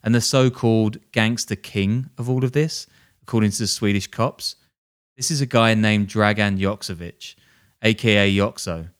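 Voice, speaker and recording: Smooth-voiced joking male podcast host. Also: fairly low pitch, normal speed. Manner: jokey, self-deprecating, laughs while telling. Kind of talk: casual storytelling chat.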